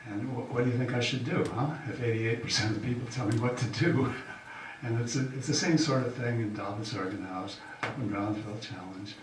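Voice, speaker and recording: An older man, an incumbent county official, giving a halting, folksy campaign speech full of fillers. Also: mid-range voice. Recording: echoey hall from far off, faint hiss.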